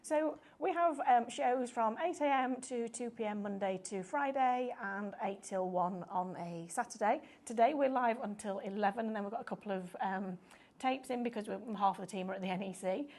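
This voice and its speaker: A woman presenting a live craft shopping show, chatty and upbeat, explaining things step by step, her voice a little croaky. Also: medium pitch, normal speed, few pauses, a little mellow. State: slightly croaky voice.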